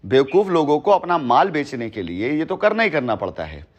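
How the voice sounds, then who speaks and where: A man's voice: fairly high pitch, big pitch swings, fairly quick. Man explaining emphatically on muffled phone call. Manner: emphatic, repeats phrases, long-winded. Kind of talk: phone conversation, explaining at length. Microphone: telephone. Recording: dull lo-fi recorded phone call.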